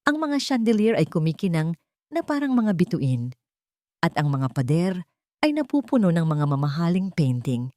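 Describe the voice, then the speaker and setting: A woman's voice: low-pitched, dark tone, dry recording, clean studio sound. A woman narrator reading a romantic drama in a scripted, dramatic storytelling style.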